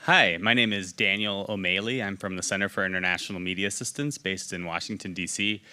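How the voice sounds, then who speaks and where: Deep, very bright American man. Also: fairly even intonation, a little fast, clear, resonant tone. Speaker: media organization delegate. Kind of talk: self-introduction at a meeting. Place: conference meeting room.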